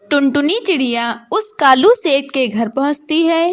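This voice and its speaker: A woman's voice: high voice, expressive, a little slow, soft background music. A woman narrating a scripted moral bedtime story for children.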